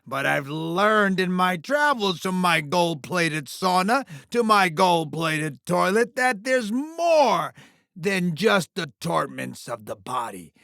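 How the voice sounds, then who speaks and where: A man's voice: high-pitched, big pitch swings, slow pace, creaky, pushed and bright, no room sound, clean studio sound, exaggerated voice. A man voicing a bombastic, gleefully cruel alien game-show host villain in a video game, with a hammy, grandiose announcing delivery. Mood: grandiose menace. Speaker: voice actor.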